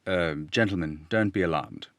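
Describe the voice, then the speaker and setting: A man acts out a criminal mastermind from an old radio serial. He is calm and menacing, giving orders in a measured, quiet way. The recording is dry and close. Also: low-pitched, no room sound, voice pushed down. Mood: calm menace.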